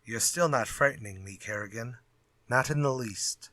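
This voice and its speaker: Guy doing a cocky old-time radio writer, bright forceful voice, flippant, real dry sound. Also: mid-range voice, moderate pace, no room sound, natural voice. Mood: amused defiance. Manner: flippant, old-fashioned phrasing. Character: glib, unafraid male writer sparring with his villain. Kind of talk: retro radio drama acting.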